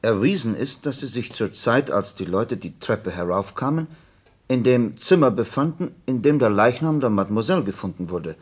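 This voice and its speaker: An actor playing a detective's attentive companion in a radio play, husky, with a measured, hesitant, questioning manner. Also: male voice, medium pitch, lively intonation. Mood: thoughtful, puzzled.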